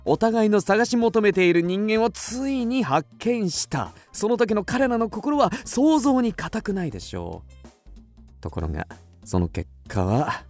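An actor playing a cool, self-assured young detective in a radio drama, explaining things deliberately and theatrically. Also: male voice, high-pitched, big pitch swings, darkish tone, soft background music, heavily acted. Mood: intense, revealing. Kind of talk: Japanese radio drama acting.

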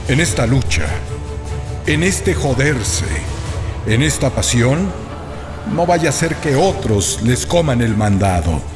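Slow declamatory man over music. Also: animated. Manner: declamatory, rhetorical, shifting pitch for emphasis. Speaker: reader. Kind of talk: dramatic reading of a literary speech.

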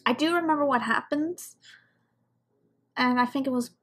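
A woman's voice: high-pitched, moderate pace, fairly bright tone. A woman giving casual, off-the-cuff commentary as host of a reaction video.

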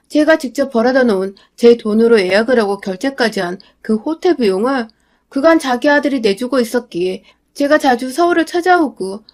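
A young adult woman reading a scripted first-person radio story in a steady, confiding way. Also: a little high.

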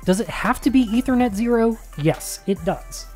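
An American man in his thirties presents a tutorial with a mellow, dark timbre. His voice sits a little high for a man, and he explains in a conversational, emphatic way. He is close to a studio microphone, with music in the background. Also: lively intonation, normal speed. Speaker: instructor and video host. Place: small home studio room. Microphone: close studio mic on boom arm.

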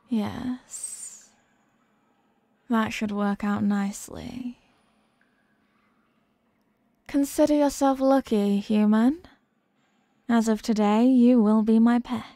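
A haughty demon queen, played by a woman for an ASMR roleplay, speaking slowly with a creaky edge in a fairly high voice. She is mocking and possessive, teasing the listener with deliberate condescension. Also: fairly even intonation, warm tone, dry recording, studio quality. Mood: smug, possessive.

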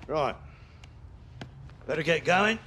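A man playing a gruff, caring old grandfather and former footballer in a video game, with an instructive manner. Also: on the high side, expressive, slow, sharp tone, a little hiss or hum, full character voice. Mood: stern. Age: older. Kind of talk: acted video game dialogue.

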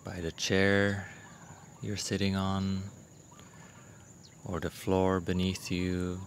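Slow, deep-voiced man guiding meditation. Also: completely flat delivery, slight background noise. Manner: gentle, unhurried, long pauses between short phrases. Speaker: meditation guide. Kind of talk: guided meditation, soothing instruction.

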